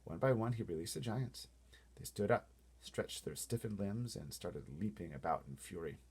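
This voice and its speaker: Husky, very animated male storyteller. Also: fairly low pitch, normal speed. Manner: playful, breaks off to wonder aloud. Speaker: read-aloud storyteller. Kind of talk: animated storybook reading with casual asides.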